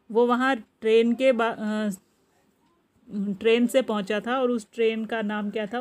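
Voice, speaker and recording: A woman with an Indian accent, teaching a school lesson, reading out and explaining directly to pupils. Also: a little high.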